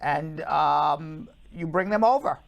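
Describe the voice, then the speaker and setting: A man's voice: on the high side, takes it slowly, creaky, a bit bright, dull audio. A man hosting a live call-in show, giving legal advice to callers in a blunt, emphatic, repetitive way.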